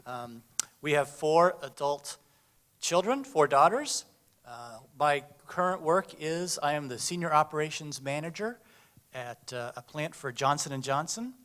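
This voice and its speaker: A man's voice: on the high side, expressive, a little slow, fairly bright tone. A middle-aged man casually introducing himself to a live audience in a matter-of-fact way. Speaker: panelist, operations manager. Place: church panel before an audience.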